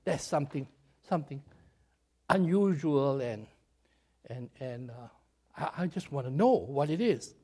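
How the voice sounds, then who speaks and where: A man voicing an earnest, respectful male rabbi seeking answers, in a slightly raspy voice, halting and searching as he talks. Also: medium pitch, expressive, a little mellow.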